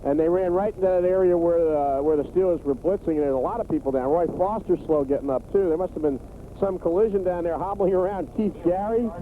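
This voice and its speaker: An American man with a high voice offers unscripted, opinionated football analysis in a halting, conversational way. It comes through a narrow, tinny 1980s radio signal with clear noise. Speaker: radio colour commentator.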